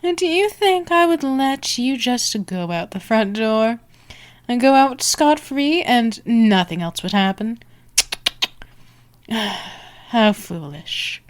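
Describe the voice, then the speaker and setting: A woman playing a dominant, predatory female vampire in an ASMR roleplay, taunting and sultry, often slipping into breathy whispers. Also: a little high, big pitch swings, slow pace. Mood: scornful, mocking. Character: dominant, teasing, predatory female vampire stalking her prey.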